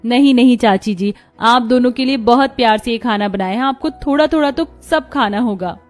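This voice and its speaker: A woman's voice: fairly high pitch, normal speed, soft background music, close to an everyday voice. A woman voicing a humble, devoted young village daughter-in-law in a cartoon story, respectful, earnest and eager to please. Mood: earnest, dutiful. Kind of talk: Hindi moral cartoon story dialogue.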